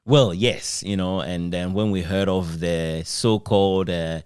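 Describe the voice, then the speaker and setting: A man with a Ghanaian accent interviewing in a casual, reminiscing way, expressive and given to chuckling between phrases. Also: deep voice, measured pace, smooth, no echo, studio quality.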